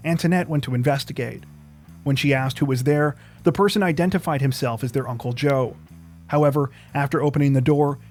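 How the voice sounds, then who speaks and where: A man reads scripted true crime narration in a measured, serious manner. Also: mid-range voice, a little mellow. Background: plucked guitar music.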